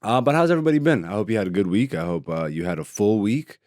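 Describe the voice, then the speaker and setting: A man's voice: on the low side, big pitch swings, fast talker, a little mellow, no echo, studio quality. A man hosting his own podcast solo, chatting in a laid-back, joking and profane way, rambling from topic to topic. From Greece. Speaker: podcast host. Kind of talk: casual solo podcast chat.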